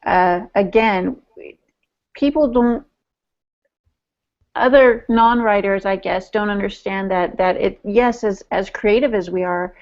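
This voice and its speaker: A woman's voice: medium pitch, darkish tone. A woman co-hosting a casual podcast discussion about writing, on a dull lo-fi recording. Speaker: podcast co-host.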